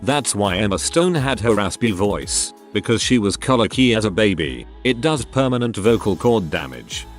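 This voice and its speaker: A man reading online posts aloud as a narrator in an even, steady delivery. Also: lowish voice, moderate pace, hardly any pauses. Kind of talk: scripted narration. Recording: soft music bed behind voice. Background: faint background music.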